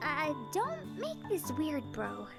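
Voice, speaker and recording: A woman's voice: sits high, huge pitch swings, slow pace, gravelly, exaggerated voice. A woman voicing a teasing, cheeky teenage little sister in an anime-style visual novel game. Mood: taken aback. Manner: playful, sassy. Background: game music.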